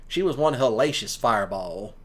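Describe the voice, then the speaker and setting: A man's voice: mid-range voice, lively intonation, normal speed. A man giving casual, rambling commentary in a folksy, colloquial way, like a streamer talking to listeners. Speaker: streamer and ASMR audio creator.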